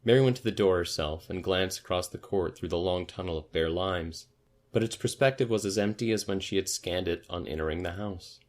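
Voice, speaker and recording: A man's voice: low-pitched, fairly quick. A man narrating literary fiction as a story reader, in an audiobook style.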